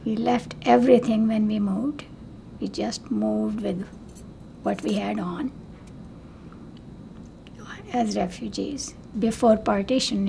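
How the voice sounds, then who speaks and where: An older woman from Pakistan recalling and explaining in a measured way for a documentary interview. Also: medium pitch, vocal fry, dark tone, slight background noise. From Pakistan, born in Delhi. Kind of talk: measured personal recollection.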